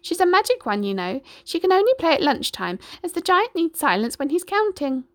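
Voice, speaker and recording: A woman voicing an enormous, chatty female chicken with a high, clucking, cackling voice. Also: big pitch swings, fairly quick, no room sound.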